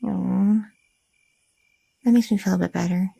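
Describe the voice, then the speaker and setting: A woman voicing a sweet, anxious young goth girlfriend in an ASMR roleplay, talking softly and a little hesitantly, close to the mic. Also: medium pitch, fairly even intonation, a little slow, vocal fry, breathy edge, no room sound, pitched below the performer's own voice, exaggerated voice. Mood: tender. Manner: soft, affectionate, a little hesitant, with fillers.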